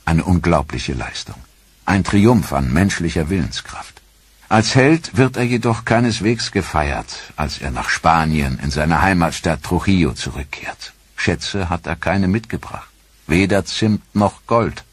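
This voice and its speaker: Male documentary narrator, low husky voice, dry studio read, measured and grave. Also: lively intonation, no echo.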